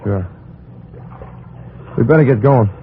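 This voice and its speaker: A man's voice: mid-range voice, lively intonation, slow pace, slight background noise. An actor playing a weary, bitter man in an old radio crime drama, speaking in terse, world-weary phrases. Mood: resigned, subdued. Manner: terse, world-weary, hard-boiled delivery. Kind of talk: 1950s radio drama acting. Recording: muffled old radio recording, loud music behind.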